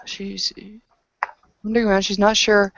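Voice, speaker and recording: A woman narrating a tabletop roleplaying session in a hesitant, halting way. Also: mid-range voice, flat delivery, a bit bright, no room sound. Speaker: game master and player. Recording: dull lo-fi voice recording.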